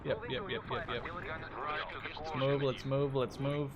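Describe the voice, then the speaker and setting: A man's voice: medium pitch, little pitch movement, a little slow, muffled recording, a loud crowd. A man in his twenties giving casual gameplay commentary, informal and slangy with some swearing. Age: 20s. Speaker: gaming video host. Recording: loud game battle sounds behind voice. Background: artillery, gunfire and vehicles from the game.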